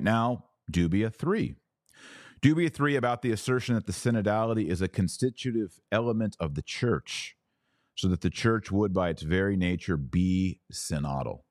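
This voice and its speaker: A man with a deep voice hosts a religious commentary podcast. He explains things like a teacher, deliberately and with emphasis, repeating key phrases. The sound is dry, with very little room in it. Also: a little mellow, dry recording.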